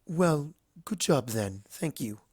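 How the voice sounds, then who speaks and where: A performer voicing an aloof, wry female alicorn princess in a storybook reading, cool, measured and regal. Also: medium pitch, expressive, a little mellow, slightly rough, dry recording, clean studio sound.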